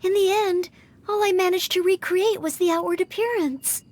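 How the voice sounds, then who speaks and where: A woman's voice: very high-pitched, fairly even intonation, moderate pace, a little mellow. A woman voicing an earnest, polite, self-doubting young maid who hopes to be a knight in an anime-style video game, speaking with careful diction. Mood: dejected.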